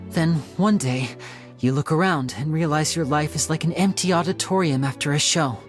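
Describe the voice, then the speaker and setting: A young male stage magician from a video game , acted out in a light voice, gentle and poised. He speaks in a polished, theatrical way that stays a little guarded, with faint music underneath. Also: medium pitch, normal speed, lightly acted. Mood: melancholy. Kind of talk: video game character acting.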